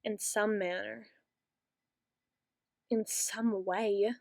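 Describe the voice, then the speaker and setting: A woman playing a soft-spoken brooding queen. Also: mid-range voice, steady tone, creaky, dry recording, clean studio sound. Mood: wistful. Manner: soft-spoken, slow and musing, turning bitter. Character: brooding young queen, bitter toward her late father. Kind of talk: ASMR roleplay.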